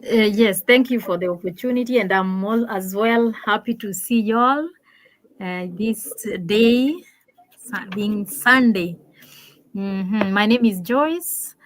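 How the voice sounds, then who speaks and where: Slow, hesitant woman from Kenya. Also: mid-range voice, rough recording. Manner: hesitant, many fillers and affirming hums. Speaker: teacher and panel co-host. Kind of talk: live-stream panel chat.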